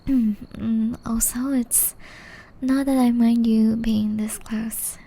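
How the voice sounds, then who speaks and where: A woman voicing a gentle, caring young witch in love, whispering softly and tenderly in an ASMR romance roleplay. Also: a little high, flat delivery, full character voice, rough recording. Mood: flustered, shy. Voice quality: soft, breathy whisper. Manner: slow, tender, intimate delivery.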